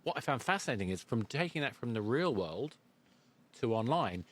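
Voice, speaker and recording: A man's voice: mid-range voice, big pitch swings, moderate pace. A man hosting a conversational interview, circling his way into questions. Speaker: podcast host and presenter.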